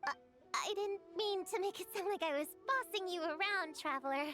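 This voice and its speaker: A woman's voice: very high-pitched, a little fast, pushed and bright, faint music behind. A woman voicing an earnest, bossy little witch girl who lectures about creatures in a game. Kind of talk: game voice acting.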